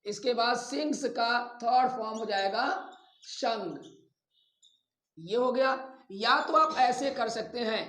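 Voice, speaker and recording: A male teacher gives a step-by-step lesson in an echoey room. His pace is slow and deliberate, and he sets things out piece by piece in an instructive way. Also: extremely high pitch, sharp tone. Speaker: English grammar teacher. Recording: echoey, roomy sound.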